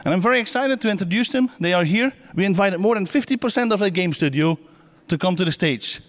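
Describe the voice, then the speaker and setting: A woman's voice: medium pitch, expressive, quick pace. A woman with a Belgian accent, an upbeat presenter welcoming a conference audience from the stage. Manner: upbeat, slightly non-native phrasing.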